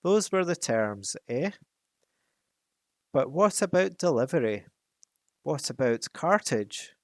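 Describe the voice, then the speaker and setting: A man voicing a huge, boastful, wicked old male dragon in a storybook reading, with a slow, gloating, menacing delivery. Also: a little high, big pitch swings, no echo, studio quality, heavily acted. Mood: sly, mocking.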